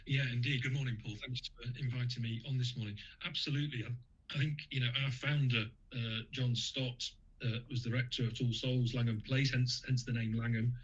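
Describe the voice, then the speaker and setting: A man with a husky, slightly breathy voice, speaking as a radio guest. He pauses often, restarts his sentences and fills gaps with ums and uhs. The sound is dull and lo-fi. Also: medium pitch, steady tone, fairly bright tone. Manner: hesitant, many fillers and restarts. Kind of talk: radio interview, conversational.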